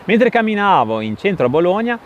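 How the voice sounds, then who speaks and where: A man's voice: high voice, big pitch swings, brisk, lo-fi sound, a little hiss or hum. A man narrating a scripted product review in an enthusiastic, emphatic manner. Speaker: tech reviewer, video host.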